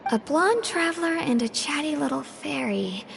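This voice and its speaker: A woman's voice: high-pitched, lively intonation, normal speed, gravelly, fairly bright tone, a little hiss or hum, full character voice. A woman voicing a polite, businesslike messenger in a video game, with formal phrasing. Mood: polite, relieved.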